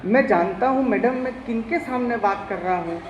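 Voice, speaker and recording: A man's voice: very high voice, normal speed, dull audio. Male comic poet working a live crowd, ribbing people by name, echoey venue. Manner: teasing, addresses audience directly. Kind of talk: live stand-up comic poetry. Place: live stage before an audience.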